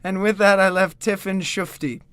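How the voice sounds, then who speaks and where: A man playing an earnest gentleman hero in an audio drama, speaking in a dramatic, declamatory way. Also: sits high, fairly even intonation, moderate pace, smooth. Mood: dramatic narration. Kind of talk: audio drama acting and narration.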